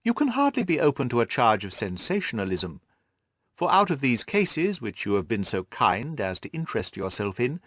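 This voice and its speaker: A man's voice: mid-range voice, a bit bright, no room sound, studio quality. A man voicing a haughty, disputatious detective who lectures his friend pompously in an audiobook. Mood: critical. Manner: pompous, didactic. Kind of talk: audiobook character dialogue.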